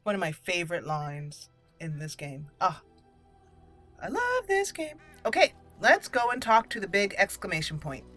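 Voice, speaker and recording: A woman's voice: on the low side, wildly swooping pitch, normal speed, fairly bright tone. A woman hosting a video game playthrough with casual commentary. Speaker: gaming channel host. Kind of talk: casual let's play commentary. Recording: game music clearly behind the voice. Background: game music.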